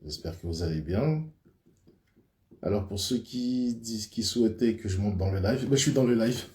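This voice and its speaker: A man speaking as a guest on a live stream, in a hesitant, halting way with many pauses. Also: lowish voice, lively intonation, warm tone, reverberant. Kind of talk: casual live-stream chat.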